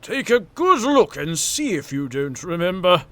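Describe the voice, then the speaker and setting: A man's voice: high voice, big pitch swings, measured pace, pushed and bright, exaggerated voice. A man playing a stout, elderly British family solicitor in an old-time radio drama, hearty, teasing and knowing. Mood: genial, knowing.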